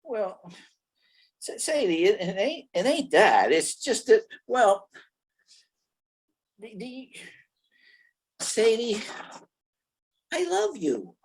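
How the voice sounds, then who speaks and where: A man's voice: sits high, wildly swooping pitch, very slow, pauses often, breathy edge, exaggerated voice. A performer playing a dim, lovestruck male gangster in an old-time radio play, stammering and bumbling in slangy talk. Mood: lovestruck, flustered.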